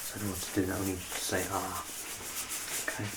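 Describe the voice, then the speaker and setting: Low-pitched man doing gentle ASMR doctor roleplay, noisy background. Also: little pitch movement, fast talker. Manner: gentle, reassuring, softly instructing. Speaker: ASMR performer playing a doctor. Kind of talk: ASMR medical exam roleplay.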